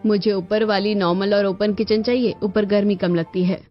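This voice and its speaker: A woman's voice: mid-range voice, steady tone, moderate pace, lightly acted. A woman voicing a bossy, caring middle-aged mother of grown sons in a cartoon story, firm and instructing. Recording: dull lo-fi audio with music behind.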